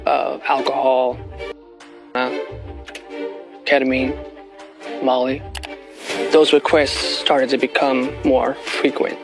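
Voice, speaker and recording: Creaky-voiced man in interview over music. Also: medium pitch, level tone, slow pace, pauses often. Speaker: interviewee, former executive assistant. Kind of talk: documentary interview.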